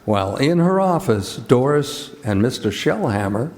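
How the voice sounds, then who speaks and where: A man's voice: mid-range voice, big pitch swings, measured pace, a little mellow. A man playing the narrator of a radio drama, reading scripted storytelling. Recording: live hall reverb.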